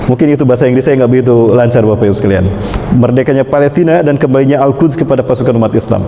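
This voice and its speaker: A man's voice: mid-range voice, racing, warm tone, muffled recording. A man preaching an Islamic sermon to a congregation, emphatically and with repeated key phrases. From Indonesia. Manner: mixes in Arabic quotations. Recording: echoey reverberant hall, background noise.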